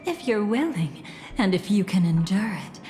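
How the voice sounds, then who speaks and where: A woman's voice: medium pitch, expressive, mellow, natural voice. An actress playing an elegant, mysterious woman in a video game, capricious and playfully menacing, with a teasing, theatrical delivery. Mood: sly, intimate. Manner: theatrical, teasing, silky and deliberate. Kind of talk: video game character dialogue. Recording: long reverb, music behind.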